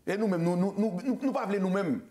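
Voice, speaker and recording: A man with a Haitian accent hosts a loose, casual talk show. He talks at a rapid clip, stumbling into restarts and repeated words. He slips Creole and English phrases into his speech. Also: high voice, mellow. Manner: fast, repetitive, stammering restarts, mixes Creole and English. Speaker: show host.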